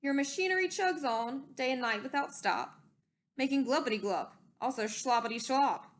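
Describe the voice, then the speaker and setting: A woman's voice: high voice, lively intonation, normal speed, slight background noise. A woman voicing an indignant old forest creature from a storybook, scolding emphatically in rhyme. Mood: angry, scolding.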